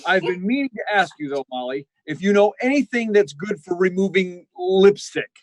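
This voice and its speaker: A man's voice: high-pitched, big pitch swings, moderate pace, dry recording, low-quality recording. A man playing a fussy, sardonic doctor in old-time radio comedy, trading mock insults with emphatic delivery. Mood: huffy. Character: sardonic, fussy male doctor, a needling family friend. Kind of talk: classic 1940s radio comedy acting.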